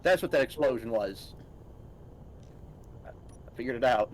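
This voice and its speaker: A man's voice: medium pitch, lively intonation, a little slow, darkish tone, slight background noise, poor audio. Easygoing man playing a co-op game. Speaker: co-player. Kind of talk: casual gaming chat.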